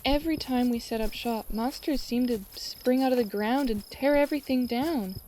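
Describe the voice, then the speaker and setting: High-voiced, teasing young ranch girl played by a woman. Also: normal speed, slight background noise, natural voice, rough recording. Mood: worried, somber. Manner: chatty, expressive, teasing. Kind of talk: audio drama acting.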